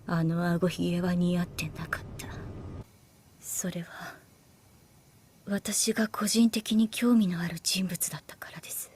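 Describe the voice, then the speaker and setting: An actress playing a shrewd, languid young woman soldier in anime, calm and teasing, with a soft, unhurried, analytical way of speaking. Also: on the low side, a little hiss or hum, natural voice. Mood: subdued, serious. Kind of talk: Japanese anime voice acting. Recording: dialogue over background music.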